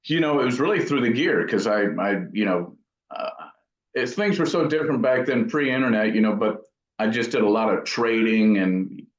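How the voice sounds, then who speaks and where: A man's voice: medium pitch, lively intonation, normal speed. A middle-aged man giving casual, thoughtful interview answers as an amp builder, hesitating as he reminisces. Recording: roomy, dull lo-fi sound.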